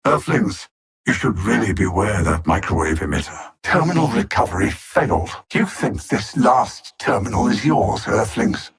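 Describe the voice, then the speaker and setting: An imperious artificial alien mind bent on conquest in a video game. The voice is gravelly and husky, swinging widely in pitch. It speaks with cold mockery, erudite and grandly condescending. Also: male voice, expressive, normal speed, exaggerated voice. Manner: haughty, erudite, condescending, theatrical. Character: arrogant, coldly mocking alien artificial-intelligence conqueror. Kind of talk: video game villain voice lines.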